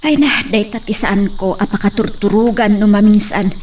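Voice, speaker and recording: A woman's voice: mid-range voice, steady tone, moderate pace, a little mellow, natural voice. A woman playing a worried mother asking after her son, in an amateur drama. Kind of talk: amateur audio drama acting. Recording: distant mic, faint noise.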